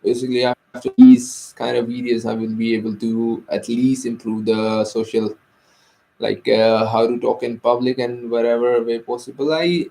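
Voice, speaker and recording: Slow, hesitant Indian man with gravelly voice. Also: mid-range voice, warm tone, rough recording. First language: Hindi. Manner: hesitant, many fillers and restarts. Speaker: vlogger. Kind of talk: unscripted personal vlog.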